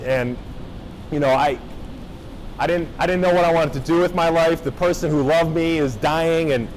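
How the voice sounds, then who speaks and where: A young man from Japan tells his story to a room of high school students in a loose, engaging way, often checking that they are with him. His voice sits a little high. Faint background noise runs under the talk. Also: normal speed, low-quality recording. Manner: casual, engaging, checks in with the audience. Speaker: motivational speaker. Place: high school gathering space.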